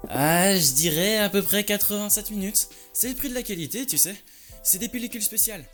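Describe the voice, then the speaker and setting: A man's voice: sits high, fairly quick, fairly bright tone, soft background music. A man playing a passionate, touchy lynx who owns a photo lab, talking in an informal, slangy way in an audio story. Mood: excited, directing. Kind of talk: French audio story dialogue.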